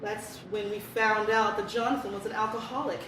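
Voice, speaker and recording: A woman's voice: mid-range voice, fairly even intonation, a little fast, a bit bright, recorded from a distance, close to an everyday voice. A woman playing a brash, gossipy office coworker in a comic radio-style Christmas party sketch. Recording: echoey, noisy room, off mic.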